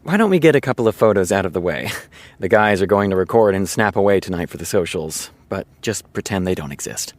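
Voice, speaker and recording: Low, close, easygoing TV showrunner. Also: male voice, lively intonation, no room sound. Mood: breezy, welcoming. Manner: casual, confiding, storytelling with dramatic pauses. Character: easygoing male TV showrunner, chatty earnest raconteur. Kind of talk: audio drama acting, naturalistic dialogue.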